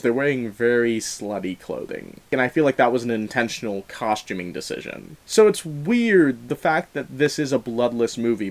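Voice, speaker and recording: A man giving an opinionated movie review as host, talking in a casual, explanatory way with emphatic stresses. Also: mid-range voice.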